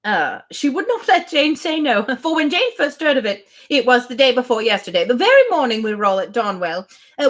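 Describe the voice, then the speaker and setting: A woman playing a high, gushing, rambling spinster. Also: a bit bright. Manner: breathless, digressive, repetitive, effusive chatter. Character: rambling, gushing, anxiously grateful middle-aged spinster. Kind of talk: live read-aloud of a classic novel.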